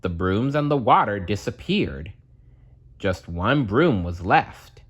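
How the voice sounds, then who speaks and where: A man narrating a children's storybook aloud in a dramatic, deliberate storytelling manner. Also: on the low side, very animated, slightly rough.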